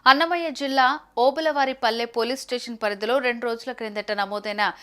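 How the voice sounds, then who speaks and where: High-pitched woman reading the news. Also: steady tone, brisk, a bit bright, clean tone. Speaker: news presenter. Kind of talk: scripted news narration.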